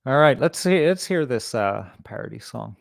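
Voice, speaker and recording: A man's voice: medium pitch, expressive, moderate pace, creaky, dry recording, studio quality. A man hosting a casual livestream, talking in a rambling, sweary way. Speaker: show host.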